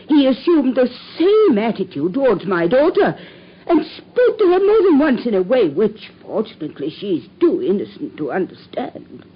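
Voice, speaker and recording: An actress playing an anxious middle-aged widowed landlady in a radio drama, with a husky voice, pleading and close to tears. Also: mid-range voice, dull audio. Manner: distressed, pleading, breaking into tears.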